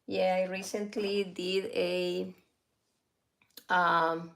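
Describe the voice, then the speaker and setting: A woman and mother whose first language is Spanish, hosting a casual live stream at home, talking slowly with many fillers and small laughs. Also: lowish voice, flat delivery, gravelly, a bit bright. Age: adult, a mother. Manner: slow, halting, with frequent fillers and light laughs.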